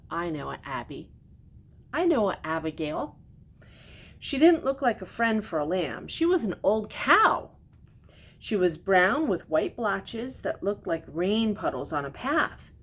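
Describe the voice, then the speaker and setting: An older woman reading a children's storybook aloud expressively, pausing for gentle, simply worded explanations. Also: vocal fry, breathy edge. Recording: noticeable background noise with low rumble.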